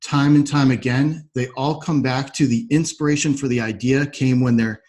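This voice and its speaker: A man's voice: mid-range voice, steady tone, normal speed, darkish tone. A man with a mid-range voice hosts a podcast interview. He speaks conversationally, in an agreeable and reflective manner. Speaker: podcast host.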